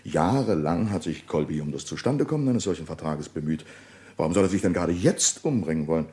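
An earnest businessman in a radio crime play. His voice sits very deep. He gives his account carefully, explaining as he goes, with some hesitation. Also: lively intonation, quick pace, mellow. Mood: insistent. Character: earnest businessman, male witness at gentlemen's club. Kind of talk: German radio crime drama acting.

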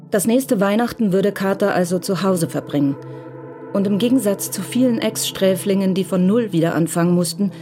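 Calm woman narrating a crime drama. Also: fairly low pitch. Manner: calm, measured storytelling. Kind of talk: scripted audiobook-style narration.

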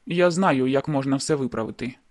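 A man's voice: mid-range voice, a little fast, no echo, lightly acted. A man voicing a hurt, bitter young man in an audiobook, who speaks bluntly and wearily. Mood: resolute. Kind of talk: audiobook dialogue.

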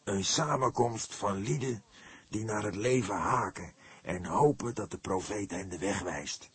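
A man voicing a tense, fervent congregation leader of about sixty in an audiobook, breathy, peremptory and unctuous. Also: on the low side, measured pace, fairly bright tone, no room sound, full character voice. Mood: testy.

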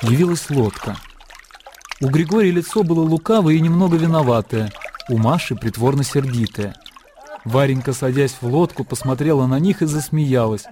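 A man narrating a classic story in a radio play, with a measured, lyrical, literary delivery. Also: medium pitch, dark tone, lightly acted. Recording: music under the narration. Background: music.

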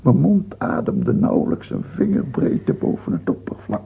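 An actor playing a dying, tyrannical king of France in a radio drama, fearful and halting, then imperious. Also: a little high, sing-song, vocal fry, husky, full character voice. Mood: anguished. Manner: halting and stammering, turning imperious. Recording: muffled, tinny old radio-play recording.

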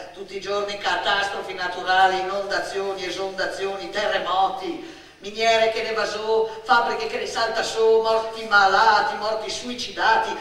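Actress as scolding, excitable village gossip. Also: female voice, mid-range voice, close to an everyday voice. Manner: indignant, emphatic rhetorical questions and lists. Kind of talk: theatrical radio monologue. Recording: echoey, distant stage sound.

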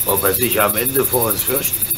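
A man playing a calm, fearless, good-natured engine driver in a German radio play, speaking reassuringly. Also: fairly low pitch, normal speed, noisy background, lightly acted.

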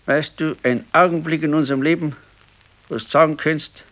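An actor playing a brooding, stubborn old working-class pensioner and husband with a Bavarian accent in a radio play. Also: male voice, fairly high pitch, steady tone, fairly bright tone, lightly acted. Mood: wistful insistence. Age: older. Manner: slow, ruminating, stubborn. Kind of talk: 1970s naturalistic dialect radio play.

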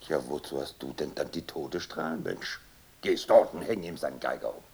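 An actor playing a gruff, commanding submarine captain in a German radio play, with a gravelly, raspy voice and blustering delivery. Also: male voice, rumbling low, a little fast, darkish tone, full character voice. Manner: commanding, rhetorical, swinging from bark to mutter.

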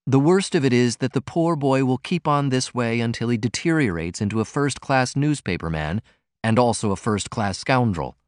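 A man voicing a weary, wry man in a storybook reading, speaking deliberately with quiet irony and contempt. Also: medium pitch, expressive, no echo, studio quality, lightly acted. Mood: mock moralising. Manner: soft-spoken, deliberate, ironic.

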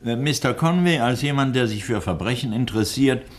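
An actor in a 1960s German radio crime drama playing a middle-aged doctor, composed, firm and shrewd. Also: male voice, mid-range voice, expressive, close to an everyday voice. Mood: measured narrating. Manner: measured, firm, deliberate. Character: composed, authoritative male doctor, shrewd and upright.

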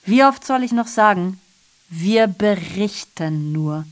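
A woman's voice: mid-range voice, lively intonation, takes it slowly, heavy background noise. A woman acting a sardonic messenger in a literary radio play, declaiming ironically and constantly correcting herself. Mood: mock-festive, declamatory. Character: sardonic female messenger reporting atrocities, digressive and self-correcting. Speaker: radio drama performer. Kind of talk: literary radio play monologue, theatrical narration.

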